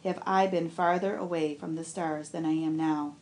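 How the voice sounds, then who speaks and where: A woman's voice: low-pitched, fairly even intonation, moderate pace, darkish tone, pitched below the performer's own voice. A woman voicing a lonely male toy rabbit lost at sea, thinking in a wistful, pleading way. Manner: wistful, pleading inner thoughts.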